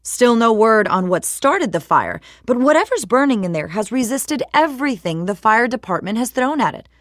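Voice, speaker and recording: High-voiced polished woman newsreader. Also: big pitch swings, moderate pace, no room sound, clean studio sound. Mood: serious, urgent. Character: woman TV news reporter, polished and brisk. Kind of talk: scripted news broadcast.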